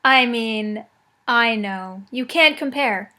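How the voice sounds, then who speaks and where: A woman's voice: fairly high pitch, slow pace, bright, forceful tone. A woman making a prank phone call, talking in a mock-serious, teasing way. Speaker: prank caller.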